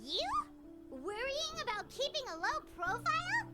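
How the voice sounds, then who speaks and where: A woman voicing a small, chirpy, cheeky childlike fairy companion in a video game, with a squeaky voice. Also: very animated, a little slow, full character voice. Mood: surprised, cheeky. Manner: speaks of herself in third person. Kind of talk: animated video game voice acting. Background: music.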